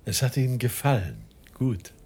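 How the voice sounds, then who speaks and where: An actor playing a kindly, pious American neighbour who fusses chummily over a sick friend in a radio drama, with a gravelly voice. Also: male voice, mid-range voice, very animated, moderate pace, darkish tone, slight background noise, close to an everyday voice. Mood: concerned, brisk. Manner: solicitous, chummy.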